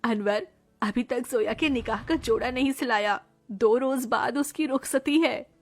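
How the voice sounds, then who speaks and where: A fairly high-pitched village aunt in a cartoon story, acted by a woman. She is caring, devout and worn by poverty, speaking in an expressive, homely way. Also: measured pace. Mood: concerned. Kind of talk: Hindi cartoon story dialogue.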